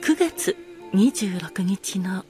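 A woman's voice: medium pitch, very animated, drawn-out words. Woman playing a courteous bar master, super polite scripted radio drama talk, music in the background. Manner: polite, formal hospitality speech. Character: courteous female master of a seaside cocktail bar. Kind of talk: scripted radio-drama style solo narration. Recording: music behind the voice.

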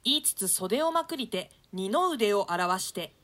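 A woman's voice: mid-range voice, expressive, measured pace, a bit bright. A woman narrating the stage directions of a play in a scripted, dramatic reading style.

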